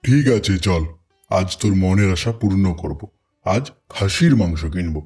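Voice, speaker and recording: A man's voice: low voice, expressive, unhurried, a little mellow, husky, faint music behind, full character voice. A man voicing a mild, hesitant village householder in a cartoon. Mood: agreeable. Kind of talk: Bengali cartoon voice acting.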